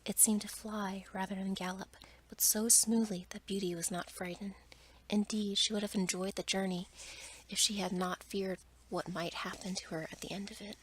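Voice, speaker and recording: A woman softly whispering a bedtime story in ASMR style, with a breathy, gentle delivery. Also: medium pitch, steady tone, normal speed, poor audio. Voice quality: whispered, breathy, mostly unvoiced. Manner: soft, gentle reading with little change between characters. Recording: noticeable background noise.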